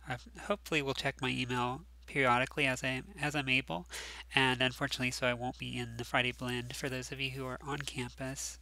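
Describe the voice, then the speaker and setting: A man's voice: medium pitch, gravelly, sharp tone, dry recording. A man teaching a recorded class lecture, sounding nasal and stuffed up from allergies, talking casually with lots of ums and restarts. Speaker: course instructor.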